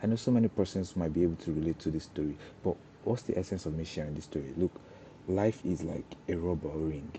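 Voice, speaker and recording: A man's voice: deep voice, quick pace, mellow, a little hiss or hum. A young Nigerian man, a Yoruba speaker, giving an informal talk on breaking into cybersecurity, often restarting and repeating words as he explains. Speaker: cybersecurity trainer.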